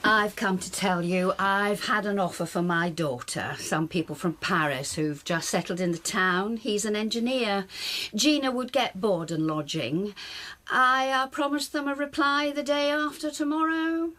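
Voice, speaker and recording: A middle-aged woman's voice at an average pitch. An actress plays a calculating mother in a radio play, practical and businesslike, pressing her case with polite insinuation. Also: measured pace, a bit bright, close to an everyday voice. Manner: businesslike, persuasive. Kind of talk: radio drama acting.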